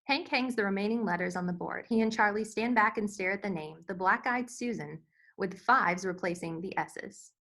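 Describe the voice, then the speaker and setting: A woman's voice: mid-range voice, moderate pace, fairly bright tone. A woman narrating scripted stage directions at a screenplay table read.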